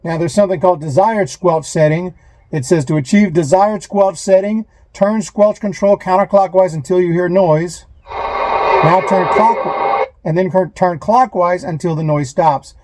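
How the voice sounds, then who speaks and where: A man giving a casual hands-on demonstration, talking in a halting way as he thinks aloud, recorded on a phone inside a van. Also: high voice, a little mellow, rough recording. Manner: halting, thinking aloud while handling equipment. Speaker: vlogger, amateur DIY installer. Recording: clear background noise, radio static and clicks. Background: CB radio static and knob clicks.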